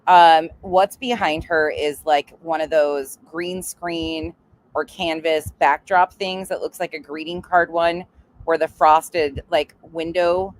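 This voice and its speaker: A woman's voice: low voice, fairly even intonation, slow pace, rough recording. A woman giving casual livestream reaction commentary in a blunt, sarcastic, sweary way. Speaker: live stream commentator.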